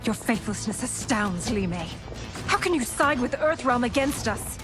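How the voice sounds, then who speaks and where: An actress playing a defiant, proud young princess, fierce and duty-bound, in a video game cutscene. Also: female voice, a little high, animated, moderate pace, lightly acted. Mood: scornful. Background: music.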